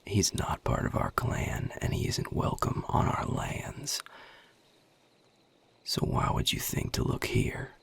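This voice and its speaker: A man voicing a guarded, gruff vampire with a Southern US accent in an audio roleplay, husky and breathy, drawling with sardonic, curt authority. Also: slow, in a whisper, natural voice. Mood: suspicious. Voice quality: gravelly, husky, breathy low voice. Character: guarded, gruff Southern male vampire defending his territory. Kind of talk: audio roleplay addressed to the listener.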